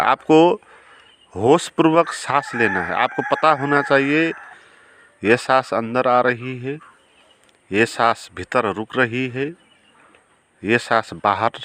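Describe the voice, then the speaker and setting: A man guiding a meditation in a calm, unhurried way, with long pauses between short phrases. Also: mid-range voice. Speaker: meditation guide. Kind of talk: guided meditation.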